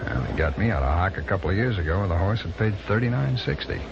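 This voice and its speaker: Fast-talking racetrack hustler, very noisy recording. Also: male voice, low voice, expressive, vocal fry. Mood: impatient, insistent. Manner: brisk, slangy, confident. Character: undercover FBI agent posing as a slangy racetrack gambler. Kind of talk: 1940s-50s radio crime drama acting. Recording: very noisy, muffled old radio recording.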